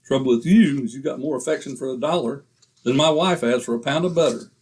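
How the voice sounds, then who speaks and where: An actor playing a boastful, wisecracking husband in a 1940s-style radio comedy. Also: male voice, medium pitch, fast talker, a little mellow, slightly rough. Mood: brash. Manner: brash, wisecracking. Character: boastful, blustering middle-aged husband.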